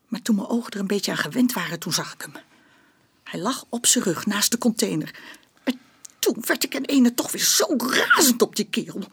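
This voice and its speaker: An actress playing a bitter, stubborn working-class mother giving a confession in a Dutch radio play, speaking colloquially and haltingly. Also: on the high side, breathy edge, heavily acted. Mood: anxious recounting, then agitated.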